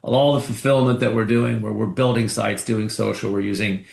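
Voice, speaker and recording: A man speaking as a company executive on an earnings call, pitching products conversationally with frequent restarts and repeated words. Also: flat delivery, normal speed, gravelly, darkish tone, echoey room.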